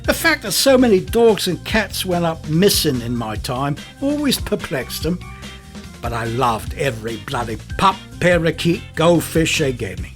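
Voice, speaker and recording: A man's voice: a little high, sing-song, measured pace, soft background music. An actor playing a chummy, unhinged London man on trial, justifying himself in a radio drama monologue. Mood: chummy, indignant.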